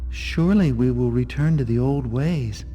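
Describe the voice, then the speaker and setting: An actor playing a troubled but hopeful devout follower in a video game, earnest and questioning. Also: male voice, medium pitch, moderate pace, warm tone, close to an everyday voice. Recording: long echoing hall, music behind.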